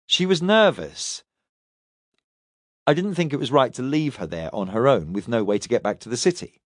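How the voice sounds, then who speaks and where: A man's voice: mid-range voice, animated, normal speed, dry recording, close to an everyday voice. A man performs a stubborn male suspect in an audiobook. He answers tersely and keeps himself controlled and defiant under questioning. The recording is close and dry. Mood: guarded. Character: guarded, stubborn Englishman, taxi driver under police questioning.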